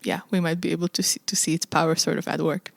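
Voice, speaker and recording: Woman from slovakia, non-native english, low-ish voice, dry sound, hesitant thoughtful talk. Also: fairly even intonation, quick pace, gravelly, dry recording. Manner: thoughtful, hesitant with many uh and restarts. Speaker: guest composer. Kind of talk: casual interview chat.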